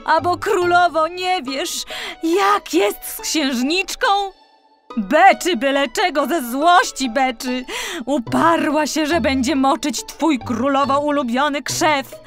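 A distressed royal gardener acted out by a woman grumbles and laments in drawn-out phrases. It is a storybook man, loyal and upset, with light music behind. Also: high voice, a bit bright, voice pushed up. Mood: grumbling, exasperated.

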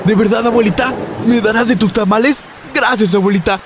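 A man's voice: high-pitched, fairly even intonation, brisk, noisy background, close to an everyday voice. A man playing a needy, stammering, childlike obsessive fan with a Mexican accent in a comedic radio sketch. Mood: fawning. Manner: needy, stammering, repeats himself. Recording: music bed under the voice.

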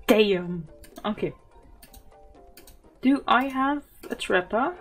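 A woman's voice: a little high, expressive, very slow, slightly rough, faint music behind. A German woman with a sore throat, casually commenting on a zoo game, thinking aloud with fillers and small laughs. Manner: soft-spoken, thinks aloud with many fillers and little laughs. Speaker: gaming channel host.

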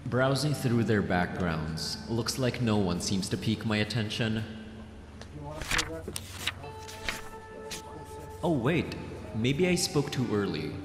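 A menacing, possessive mafia boss played by a man speaks in a fairly low voice over background music. He is playful and teasing, with a theatrical ASMR roleplay delivery. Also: animated. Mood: calm, amused. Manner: slow teasing, sinister chuckles, theatrical.

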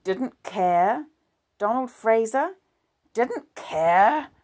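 A woman's voice: a little high, animated, drawn-out words, a little mellow, pitched above the performer's own voice. A woman voicing a wounded, bitter husband who growls and turns sarcastic. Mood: tense.

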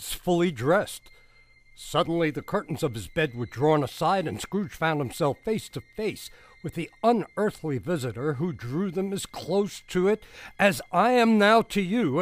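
A man narrating a radio drama in a measured, dramatic storytelling style. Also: on the high side.

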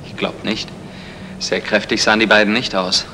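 An actor playing a calm, dry husband in a radio crime comedy, with a measured and polite delivery. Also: male voice, fairly low pitch, a little hiss or hum, rough recording. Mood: cautious. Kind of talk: 1960s German radio play acting.

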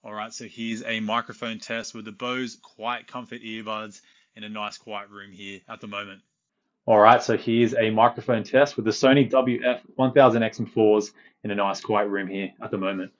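A man's voice: fairly low pitch, steady tone, moderate pace, highs cut off. A man in his thirties presenting an audio gear test to camera, recorded indoors through wireless earbud microphones. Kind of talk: scripted review talk to camera. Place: small quiet room, indoors. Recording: band-limited earbud microphone samples.

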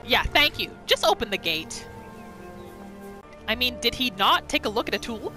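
High-pitched, animated woman gamer. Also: normal speed. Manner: exclaims, asks rhetorical questions, speaks for the character. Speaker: gamer narrating a roleplay playthrough. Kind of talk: reactive gameplay commentary. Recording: game music behind the voice. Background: video game music and sounds.

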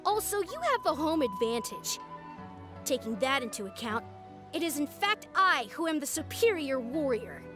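A woman's voice: sits high, sing-song, moderate pace, over music. A woman voicing a solemn, proud little boy grandmaster in a video game, formal and grandiose. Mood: stubborn.

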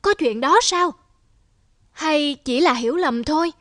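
A woman voicing a calm, conciliatory male god in a cartoon, soothing and moralising as a storyteller. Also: sits high, unhurried, no room sound, studio quality.

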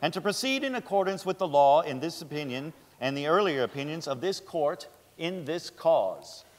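A man playing a grave, measured supreme court justice in a solemn, formal stage reading. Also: on the high side, very animated. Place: large theatre stage, indoors. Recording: reverberant theatre hall.

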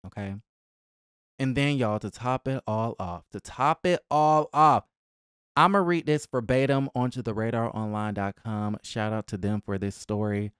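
A man's voice: medium pitch, moderate pace, a bit bright, clean tone, dry recording. A young man giving casual, conversational celebrity gossip commentary in a sassy, emphatic, slangy way, close on a podcast microphone in a small room at home. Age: young adult. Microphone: close boom-arm podcast microphone.

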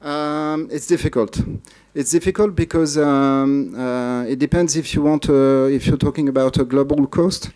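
Hesitant man with a mellow voice. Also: a little high, moderate pace, hardly any pauses. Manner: hesitant, many uh fillers and restarts. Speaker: conference speaker. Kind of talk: conference Q&A answers. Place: large dark conference hall.

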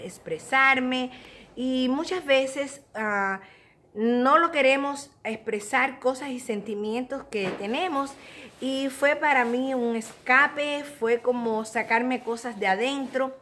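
A woman's voice: on the high side, fairly bright tone, slight background noise. A middle-aged woman with a Cuban Spanish accent, chatting casually and earnestly to her viewers in a confessional way, recorded on a phone at home. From Cuba. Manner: earnest, addresses viewers directly, motherly advice. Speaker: vlogger. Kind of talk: casual confessional chat to camera. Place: small living room at home. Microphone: phone at arm's length.

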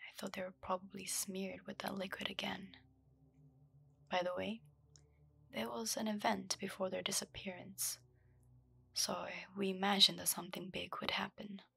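A young woman's low voice, kept almost wholly to a soft, breathy whisper as she reads a story for ASMR listeners. The tone is very husky with some gravel at the bottom. Her pitch barely moves, and the sound is close and dry. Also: dry recording. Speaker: ASMR host. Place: dark room lit by a candle.

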